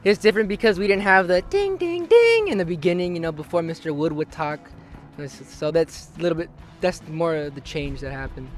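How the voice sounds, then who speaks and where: A teenage male student gives a casual vox pop answer. His voice sits fairly high, and there is faint music behind it. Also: lively intonation, brisk. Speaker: student interviewee.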